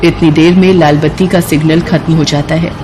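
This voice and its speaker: A woman narrating a cartoon story in a steady storytelling manner. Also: low voice, brisk, background noise. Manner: steady storytelling, also voices the poor mother.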